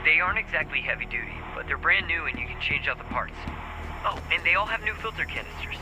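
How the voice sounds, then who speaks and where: A man voicing an eager male supply trader, quick and helpful. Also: a little high, animated, background noise, natural voice.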